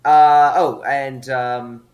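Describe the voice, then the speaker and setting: A man's voice: medium pitch, fairly even intonation, very slow, muffled recording, a little hiss or hum. A man hosting a casual online group chat, reading out award results with many fillers and restarts.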